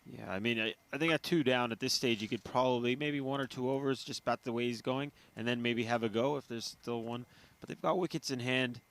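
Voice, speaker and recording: A man's voice: medium pitch, brisk, hardly any pauses, fairly bright tone. A man giving cricket analysis in casual chat, often repeating words while he thinks.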